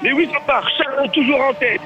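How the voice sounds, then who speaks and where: Excitable high-voiced man over background noise. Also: brisk, lo-fi sound. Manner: excitable, exclamatory, playful. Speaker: field reporter on a radio show. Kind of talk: excited mock race commentary and live chat.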